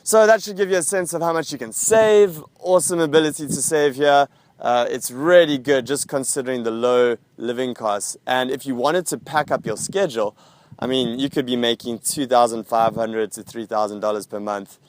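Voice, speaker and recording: Young South African man, smooth resonant voice, dry close sound, upbeat casual vlog talk. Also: mid-range voice, moderate pace, no room sound. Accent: South African English. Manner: upbeat, chatty, with frequent fillers. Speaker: vlog host answering viewer questions.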